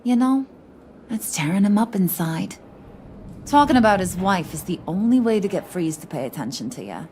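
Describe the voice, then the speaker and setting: A woman's voice: mid-range voice, sing-song, normal speed, a little mellow, slight background noise, natural voice. A woman playing a brash, kooky, flirtatious crime boss in a video game, with a slangy, teasing New delivery. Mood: wry, teasing. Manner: slangy, playful, teasing, wise-cracking.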